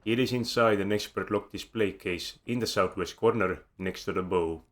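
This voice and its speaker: A man with an Estonian accent reads a scripted game guide close to the mic. His voice sits very low, and his delivery is measured with a dry, wry edge. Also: a bit bright. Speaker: video game guide narrator. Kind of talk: scripted voice-over narration.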